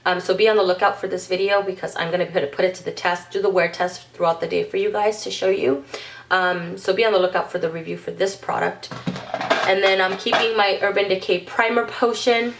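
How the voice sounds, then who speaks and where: A woman's voice: medium pitch, fairly even intonation, a little fast, slight background noise. A congested, under-the-weather woman chatting through a casual makeup vlog, rambling with frequent ums and self-corrections. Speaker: beauty vlogger.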